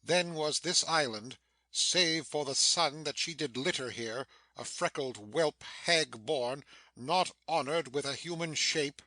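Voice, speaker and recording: A man playing a stern, commanding sorcerer master in a dramatic Shakespeare reading, declamatory and quick to scold. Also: a little high, bright, forceful tone, dry recording. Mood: stern recounting. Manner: declamatory, imperious.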